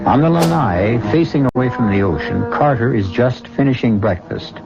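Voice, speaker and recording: A man hosting a radio drama, delivering scripted dramatic narration in a grave, portentous, measured manner. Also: medium pitch, expressive, vocal fry. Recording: dull old radio recording with music behind.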